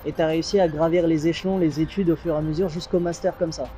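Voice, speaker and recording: A man's voice: a little high, fairly even intonation, fast, dark tone, soft background music. A man working as an interviewer, putting questions to his guest in a conversational style.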